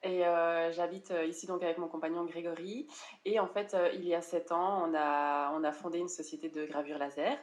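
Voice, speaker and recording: A woman's voice: on the low side, fairly even intonation, fairly quick. A woman from France in her thirties, a guest in a conversational interview, talking casually and often hesitating and restarting. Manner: chatty, frequent hesitations and restarts. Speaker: interview guest, online seller coach.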